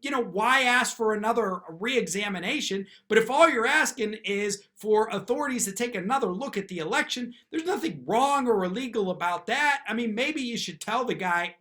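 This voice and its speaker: A man with a high, forceful voice delivers off-the-cuff legal commentary on the news. He puts questions to himself and answers them firmly, tagging his points with quick checks that the listener follows. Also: animated. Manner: emphatic, often tags points with "okay?". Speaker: lawyer commentator, video host. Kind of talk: unscripted opinion commentary on news.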